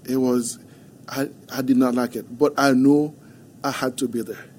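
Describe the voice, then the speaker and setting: Haitian-accented male guest, mellow and warm, quiet earnest storyteller, faint hiss behind. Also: medium pitch, steady tone. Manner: soft-spoken, halting, earnest storytelling. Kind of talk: podcast interview. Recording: faint background noise.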